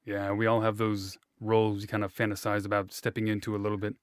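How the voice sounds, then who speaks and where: A man's voice: lowish voice, monotone, fast talker, clear, resonant tone, no room sound, studio quality. Easygoing male interview host. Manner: easygoing, joking, filler-heavy. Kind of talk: casual interview questions.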